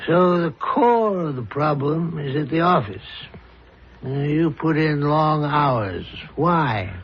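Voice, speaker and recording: An actor playing a probing, self-assured psychiatrist in a 1970s radio drama, questioning a patient in a deliberate, insistent manner. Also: male voice, a little high, lively intonation, slight background noise. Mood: pressing, insinuating. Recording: dull, lo-fi radio drama recording.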